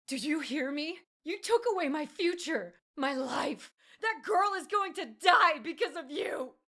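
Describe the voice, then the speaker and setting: A woman's voice: fairly low pitch, moderate pace, close to an everyday voice. A woman voicing a furious young female elf assassin who screams with her voice cracking. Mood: desperate rage.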